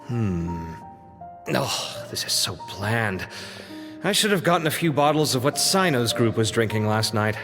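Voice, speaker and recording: A talkative, expressive young man whose mid-pitched voice rises and falls with his flustered, fussy energy. Long reverb hangs on his lines, and clear game music runs behind him. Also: unhurried. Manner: lively, chatty and a little flustered. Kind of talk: video game voice acting.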